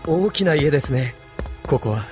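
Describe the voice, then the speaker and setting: 男性声優がアニメのオーディオドラマで、警戒心を抱えた礼儀正しい逃亡中の青年を演じている。. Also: male voice, on the high side, animated, normal speed, music in the background, close to an everyday voice. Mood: polite, apologetic. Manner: polite, hesitant, with hushed inner monologue. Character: polite, wary young man on the run. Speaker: voice actor. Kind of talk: anime audio drama acting.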